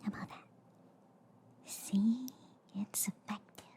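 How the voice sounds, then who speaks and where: A woman voicing a quirky, reassuring alien girl doctor in a soft ASMR roleplay, mostly in a hushed, breathy whisper with a soothing, chatty manner. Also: mid-range voice, fairly even intonation, lightly acted. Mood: playful, reassuring. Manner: slow, chatty, soothing, repeating filler words. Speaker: ASMR performer.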